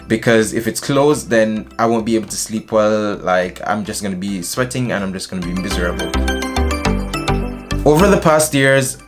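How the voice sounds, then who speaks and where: Low-voiced young man from Jamaica. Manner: chatty, animated with hand gestures. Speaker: vlogger. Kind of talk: casual vlog to camera. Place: small indoor room, decorated shelves.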